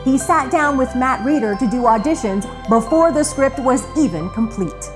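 Lively higher-voiced woman narrating over music. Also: normal speed. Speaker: host and narrator of a radio retrospective. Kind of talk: scripted radio documentary narration.

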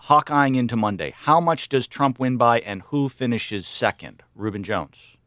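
Male radio host, mid pitch, dry close studio mic, easygoing with wry asides. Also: moderate pace, no room sound. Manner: relaxed, joking asides. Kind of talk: public radio roundup hosting. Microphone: studio microphone.

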